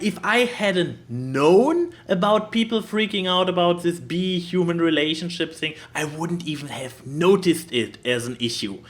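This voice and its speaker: A man co-hosting a podcast, casually discussing a film in an animated way and acting out bits as he goes. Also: high-pitched. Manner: animated, acts out quotes. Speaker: podcast co-host.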